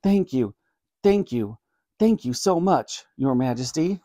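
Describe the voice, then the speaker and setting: A man's voice: medium pitch, animated, slow pace, mellow, no echo. A man voicing an earnest, nervous young boy in a storybook reading, close to his own voice.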